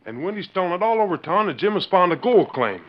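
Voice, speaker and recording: An actor in a 1940s radio western playing a rancher's brash young son, insistent and urgent. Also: male voice, high voice, sing-song, fast talker. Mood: accusing, insistent. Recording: muffled 1940s radio recording.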